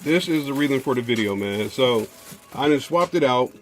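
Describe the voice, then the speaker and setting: A man's voice: mid-range voice, lively intonation, moderate pace, faint music behind, low-quality recording. A man, chatting casually as he unboxes car audio gear, rambling with lots of fillers and restarts.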